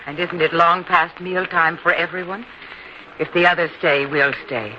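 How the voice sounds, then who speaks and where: An actor playing a calm, devout mother who speaks in a measured, gently firm way in an old-time radio drama. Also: mid-range voice, close to an everyday voice. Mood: patient. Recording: dull lo-fi radio sound, clear noise.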